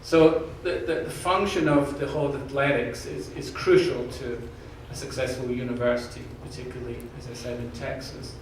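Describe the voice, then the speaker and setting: A man with a slight Scottish accent talks earnestly, with jokes, to a room of staff. He is picked up from far away in a very echoey space. A steady background hiss sits under his voice. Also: medium pitch, normal speed, few pauses. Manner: earnest, jokey, repeats words when restarting. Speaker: university president candidate. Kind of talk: answering questions at an open forum. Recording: echoey room, distant mic, background noise.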